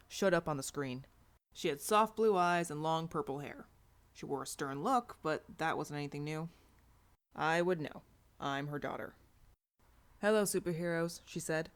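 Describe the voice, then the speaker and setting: A woman reading a first-person story aloud as an audiobook narrator. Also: sits low. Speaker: audiobook reader. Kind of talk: scripted fiction narration.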